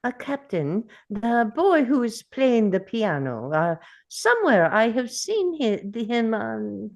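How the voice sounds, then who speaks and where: A woman playing a gracious, reserved young lady, gentle and hesitant, in an amateur script read-through. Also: a little high, very animated, slow, gravelly, heavily acted. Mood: gracious, curious.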